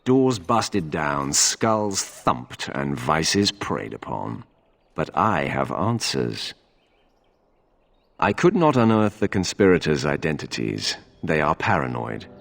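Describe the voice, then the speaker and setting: Very deep, gravelly informant. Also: male voice, very animated, slow, exaggerated voice. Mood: grimly satisfied. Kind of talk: video game dialogue. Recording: long reverb, faint music behind.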